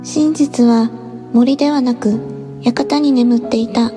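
A woman giving measured, dramatic narration for a voice drama trailer. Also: fairly high pitch, fairly even intonation, music in the background.

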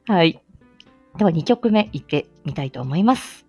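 A woman's voice: mid-range voice, wildly swooping pitch, measured pace, husky, faint music behind. A woman hosting a casual personal audio stream, chatting in a giggly, self-deprecating manner. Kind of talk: casual chat.

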